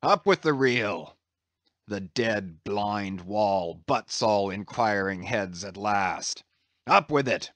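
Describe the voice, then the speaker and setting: Man acting old whaling captain, brooding, grand archaic delivery, unhurried, dry studio sound, storybook drama. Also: on the low side, animated, a bit bright, no echo, exaggerated voice. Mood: bitter musing. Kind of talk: dramatised classic sea novel reading.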